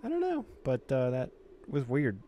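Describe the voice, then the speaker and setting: A man's voice: medium pitch, wildly swooping pitch, normal speed, mellow. A man reading a visual novel aloud as a gamer, mixing story narration with casual commentary. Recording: noticeable background noise.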